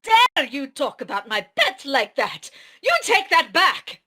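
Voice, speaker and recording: A woman's voice: high-pitched, sing-song, a little slow, pushed and bright, heavily acted. A woman playing a defiant, guilt-ridden and jealous woman in an amateur fantasy drama table read, in a dramatic, indignant manner.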